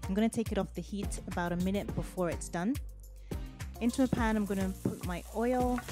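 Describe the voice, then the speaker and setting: A woman hosting a cooking video, calmly talking through each step in a voice-over. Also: mid-range voice. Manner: relaxed step-by-step instructions. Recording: voice-over with music underneath. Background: background music.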